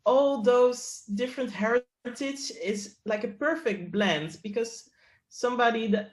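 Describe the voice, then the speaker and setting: A woman from the Netherlands, a company co-founder, talking as a guest in a casual interview and telling stories with laughter. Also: mid-range voice. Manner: laughing, lively storytelling. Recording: roomy echo.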